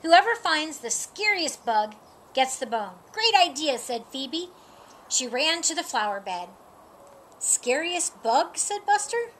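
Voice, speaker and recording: Slow, lively woman reading aloud. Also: high voice, slight background noise. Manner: slow, lively, lifts her voice for animal lines. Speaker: storyteller. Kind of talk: reading a picture book aloud. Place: outdoors in a sunny yard. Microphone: camera a few feet away.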